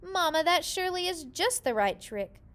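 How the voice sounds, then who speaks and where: A woman's voice: very high voice, big pitch swings, normal speed, fairly bright tone, pitched above the performer's own voice, exaggerated voice. A woman voicing a cheerful, eager bear in a children's storybook, folksy and exclamatory.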